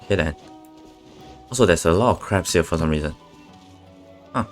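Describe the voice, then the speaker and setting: A man giving casual, low-key live commentary as he streams a video game. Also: expressive, moderate pace, soft background music.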